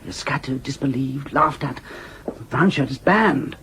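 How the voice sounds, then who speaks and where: An earnest political lieutenant in a radio drama. His mid-pitched voice is measured and grave, respectful toward authority but persistent, with faint noise in the recording. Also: gravelly. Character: Josef, earnest idealistic male party lieutenant, deferential yet persistent. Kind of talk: audio drama acting.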